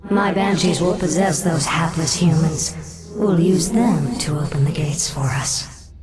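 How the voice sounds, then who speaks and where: A vengeful undead elf queen gives orders in a very low, coldly imperious voice. It is a fantasy game scene with loud music behind her calculating menace. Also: unhurried, low-quality recording. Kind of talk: video game cutscene acting.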